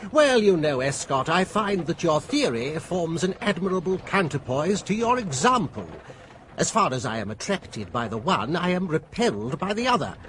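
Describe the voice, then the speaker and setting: An actor playing a genial, fence-sitting gentleman philosopher in a period radio drama, with a British accent and urbane, wordy wit. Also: on the high side, big pitch swings, moderate pace, slight background noise. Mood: wry, genial.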